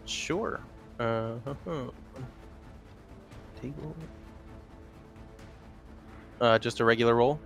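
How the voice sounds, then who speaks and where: Male tabletop player, unhurried and creaky, casual chat with music behind. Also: medium pitch. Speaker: player in a tabletop roleplaying game.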